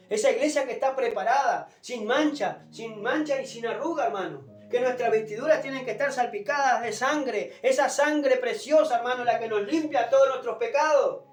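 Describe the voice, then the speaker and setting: A preacher delivers a fervent, emphatic sermon, pushing a strained, sore throat and often repeating exhortations. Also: medium pitch. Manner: emphatic, exhorting, repeats phrases and addresses listeners as brother. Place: echoing room, preaching unamplified. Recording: roomy, distant pickup without a microphone, music behind.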